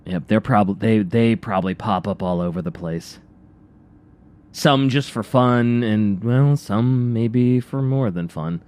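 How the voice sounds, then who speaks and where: A man co-hosting a radio show with casual, reactive banter, rambling and haltingly repeating his phrases. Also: fairly low pitch, a little mellow, clean tone.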